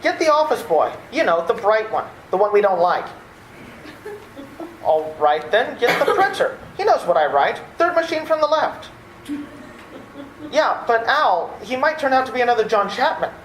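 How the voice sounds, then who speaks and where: A woman plays a flustered, exasperated nephew in a radio play, halting and repeating himself. The recording is echoey with faint noise. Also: a little high, big pitch swings. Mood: harried. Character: flustered, exasperated nephew, a harried theater critic. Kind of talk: old-time radio theater acting.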